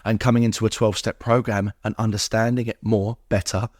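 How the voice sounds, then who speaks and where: A man's voice: on the low side, flat delivery, a little fast, no echo, clean studio sound. A middle-aged man, speaking as a podcast guest and telling personal recovery stories, acting out what people said. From England.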